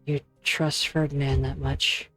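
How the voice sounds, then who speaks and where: A woman's voice: very deep voice, flat delivery, unhurried, music in the background. A woman in an amateur fan dub playing a skeptical, level-headed investigator with a probing, controlled manner.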